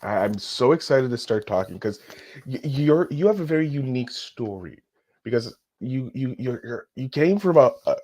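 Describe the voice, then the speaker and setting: A man from Canada with a slightly husky, unpolished voice hosts an interview podcast. He sits at a middling pitch and reads introductions and puts questions, now and then tripping over or repeating his words. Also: expressive, normal speed, darkish tone. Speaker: podcast host.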